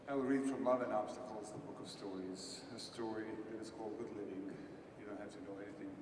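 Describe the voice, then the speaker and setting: A man with a Bosnian accent reading his own story at a live event, with dry asides. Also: lowish voice. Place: event hall. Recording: echoey hall from far off, noisy.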